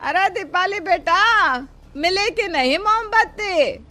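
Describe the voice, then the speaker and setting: A woman voicing a village mother calling out to her child in drawn-out tones for a cartoon story. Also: extremely high pitch, animated, pushed and bright, voice pushed up, heavily acted. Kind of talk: animated story dialogue.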